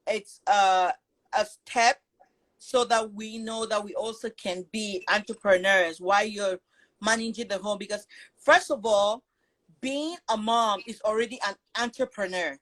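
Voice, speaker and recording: A woman's voice: a little high, poor audio. Earnest Cameroonian-born woman on a video call. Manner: earnest, talkative, with restarts. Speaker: guest, business founder. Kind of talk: live video call interview.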